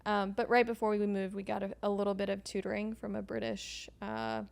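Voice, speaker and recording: A young woman from Sweden, speaking as a guest in a relaxed interview. Her voice has a gravelly, fry-edged texture at a middle pitch. She talks hesitantly, with plenty of ums and likes and frequent soft laughs. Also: brisk. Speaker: podcast guest. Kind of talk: casual conversational interview.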